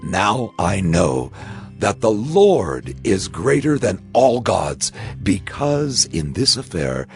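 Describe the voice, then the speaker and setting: A man's voice: lowish voice, very animated, takes it slowly, a little mellow, soft background music, exaggerated voice. A man playing a reverent elder priest and father-in-law in a dramatized scripture reading, stately and declamatory.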